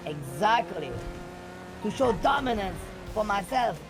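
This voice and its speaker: A man with a squeaky, high-pitched voice, yelling and rambling full of fillers. He is talking in character in a roleplay game. Music plays clearly behind him. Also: a little slow. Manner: animated, rambling with many fillers.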